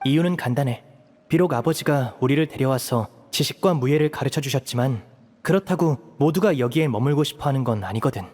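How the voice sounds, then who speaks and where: A man voicing a composed, protective young elder brother in an anime-style game, explaining things in a measured, serious way. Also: medium pitch, animated, a little mellow, natural voice. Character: composed young magician, protective eldest brother, earnest strategist.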